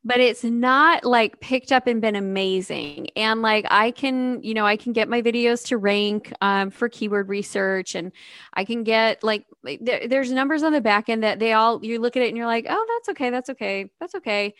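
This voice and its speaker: Woman host rambling through a story, bit high voice, dry close mic. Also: normal speed, a bit bright, clear, resonant tone, dry recording. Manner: storytelling with acted-out inner quotes, many "like"s. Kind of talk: casual podcast chat.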